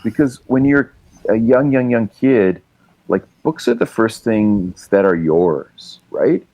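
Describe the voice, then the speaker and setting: Middle-aged canadian guy on a podcast, really low voice, dry close mic, rambles and swears a bit. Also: expressive, slow pace, mellow, no echo, rough recording. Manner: rambling, laughing, swears freely, many restarts. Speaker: podcast guest, writer and musician. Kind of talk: casual chat, storytelling.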